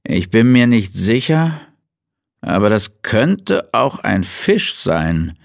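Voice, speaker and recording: A man voicing a weary, laid-back male forensic pathologist who muses out loud in a relaxed way. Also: fairly low pitch, takes it slowly, gravelly, no echo, studio quality, voice pushed up, exaggerated voice. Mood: musing.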